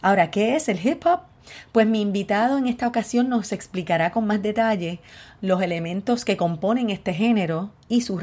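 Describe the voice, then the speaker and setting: A woman's voice: medium pitch, darkish tone. A woman from Puerto Rico with a Puerto Rican accent, hosting her own podcast in an outspoken, candid monologue. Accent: Puerto Rican Spanish. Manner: outspoken, candid, openly frustrated. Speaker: podcast host.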